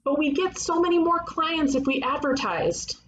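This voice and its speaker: A woman's voice: high voice, animated, normal speed, lo-fi sound. Woman playing brash young cartoon detective, roomy noisy recording. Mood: grandiose pitching. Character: brash, excitable young female ghost detective, donut-loving twin sister. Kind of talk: animated comedy table read.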